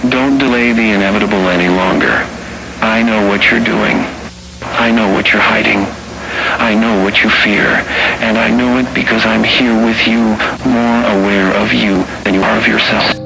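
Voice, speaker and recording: An actor playing an ominous, all-knowing stalker delivering a taunting horror monologue. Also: male voice, on the low side, fairly even intonation, normal speed, over loud music, close to an everyday voice, rough recording. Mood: ominous menace. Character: menacing all-knowing stalker taunting someone behind a door.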